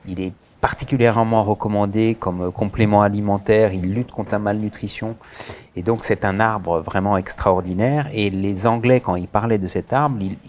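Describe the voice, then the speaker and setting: A man's voice: fairly low pitch, a little mellow, a little hiss or hum. A man born in France and raised in Senegal, answering interview questions earnestly, with many hesitations and restarts. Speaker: director of a Senegalese association.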